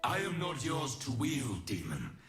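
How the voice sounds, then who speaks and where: A man's voice: medium pitch, wildly swooping pitch, measured pace, fairly bright tone, roomy sound, faint music behind. A male actor playing a noble, solemn ancient warrior god in a video game, speaking in formal, declamatory phrases. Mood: stern, resolute. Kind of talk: scripted video game voice lines.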